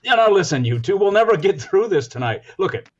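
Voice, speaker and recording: Lo-fi 1940s-style radio comedy: eager, folksy guest, played by a man, animated intonation, insistent delivery. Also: high voice, brisk.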